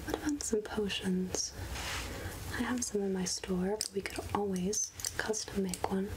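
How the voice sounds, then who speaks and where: A woman playing a soothing, wry outcast witch healer in a soft, whispered ASMR roleplay, speaking gently with musing pauses. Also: medium pitch, fairly even intonation, background noise, natural voice. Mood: soothing, playful.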